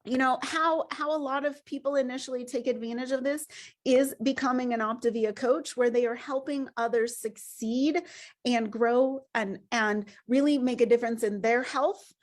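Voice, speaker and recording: Upbeat high-voiced woman host. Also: fairly even intonation, normal speed. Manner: upbeat and encouraging. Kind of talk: presenting on a webinar.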